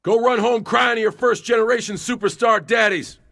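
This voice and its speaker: A man's voice: extremely high pitch, moderate pace, creaky, a bit bright, no room sound, studio quality, exaggerated voice. A man playing a brash, fearless hero wrestler with a sarcastic manner in a video game cutscene. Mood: defiant. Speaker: lead wrestler character. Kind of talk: scripted game cutscene dialogue.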